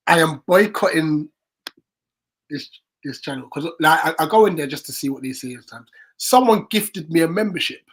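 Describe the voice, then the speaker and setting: A man's voice: fairly high pitch, lively intonation, normal speed, fairly bright tone, slightly rough. A man co-hosting a casual football fan watchalong, chatting about club news, reacting to the play and reading out viewer comments. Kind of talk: casual match watchalong chat.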